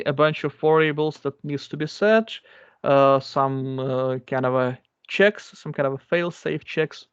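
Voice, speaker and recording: A man's voice: on the high side, clean tone, no room sound. Man explaining code in non-native English. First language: not English. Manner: explanatory, repeats phrases, many fillers. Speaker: guest speaker. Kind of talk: live coding demo. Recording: dull lo-fi dry sound.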